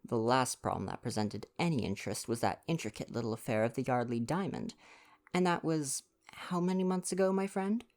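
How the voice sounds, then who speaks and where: A man voicing a fastidious, vain older Belgian detective from a storybook, speaking in formal, courteous foreign phrasing. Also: mid-range voice, a little mellow, lightly acted. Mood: despondent. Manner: formal foreign phrasing, sighing self-importance. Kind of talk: storybook dialogue reading.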